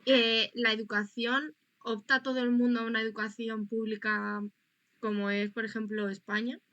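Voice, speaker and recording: Bright-voiced young woman from northern Spain. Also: fairly high pitch, little pitch movement, moderate pace, lo-fi sound. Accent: northern Spanish. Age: young adult. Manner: chatty, colloquial. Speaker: student interviewer. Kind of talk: informal interview.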